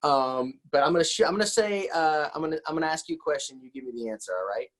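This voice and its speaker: A man's voice: on the high side, animated, fast, fairly bright tone. Enthusiastic man hosting a casual interview. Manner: enthusiastic, encouraging. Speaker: interview host.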